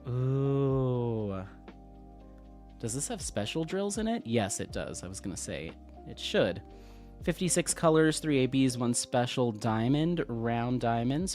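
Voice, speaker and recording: A man hosting a casual craft livestream, slightly sniffly, reading viewer comments aloud in a relaxed, friendly way at a desk microphone. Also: medium pitch, unhurried, faint music behind. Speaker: livestream host. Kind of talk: casual live-stream chat, reading comments. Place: home craft room, at a desk.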